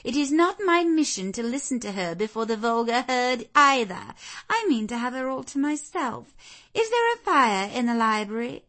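A woman's voice: on the high side, lively intonation, normal speed, fairly bright tone, voice pushed up. A woman voicing a haughty, willful young aristocratic lady in a storybook, with a commanding, theatrical, emphatic manner. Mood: imperious, impatient.

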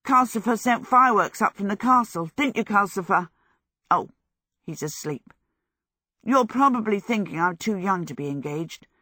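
A woman voicing a jubilant, excitable teenage apprentice boy in love, gushing, in a storybook. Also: mid-range voice, normal speed, dry recording, clean studio sound. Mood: proud, happy. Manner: exuberant, gushing. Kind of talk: storybook character voice.

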